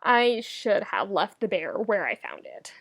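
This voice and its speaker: A woman's voice: mid-range voice, very animated, measured pace. A woman plays a fearless, blunt young student in an audiobook comedy. She is a defiant young woman, grumbling with exasperation. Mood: regretful groan.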